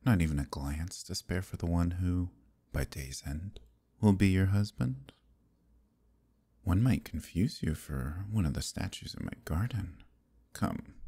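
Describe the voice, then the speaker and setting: A man playing a proud, possessive fae prince in an intimate ASMR roleplay, husky and breathy, with measured, courtly speech that dips into whispers. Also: sits low, lively intonation, dark tone, exaggerated voice. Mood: teasing, smug. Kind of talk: ASMR roleplay, intimate archaic theatrical acting.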